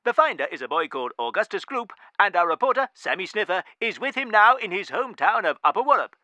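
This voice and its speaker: An actor playing a formal male TV news announcer with crisp delivery in a radio drama. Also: high voice, sing-song, fast talker, pushed and bright, no room sound, exaggerated voice.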